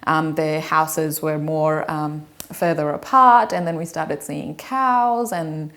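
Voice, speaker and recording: A woman's voice: low voice, slow, a bit bright. A young woman from Afghanistan in her twenties, hosting and casually telling her own life story in a chatty, hesitant way, with many fillers and light laughs.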